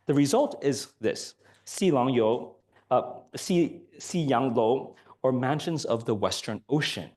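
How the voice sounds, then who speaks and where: A hoarse man giving an academic lecture, speaking softly with many ums and uhs. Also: medium pitch. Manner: soft-spoken, many fillers. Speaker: guest lecturer.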